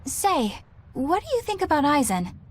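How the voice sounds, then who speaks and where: A prim, high-voiced young lady. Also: moderate pace, slight background noise. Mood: earnest. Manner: proper, expressive. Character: prim, polite, earnest young woman. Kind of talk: English anime game dub acting.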